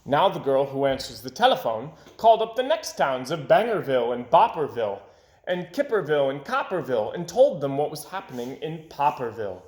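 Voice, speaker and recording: Animated, higher-voiced man reading a storybook. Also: a little fast. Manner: dramatic, varies volume and tempo for suspense. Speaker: storybook reader. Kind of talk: animated children's read-aloud narration. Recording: roomy home recording.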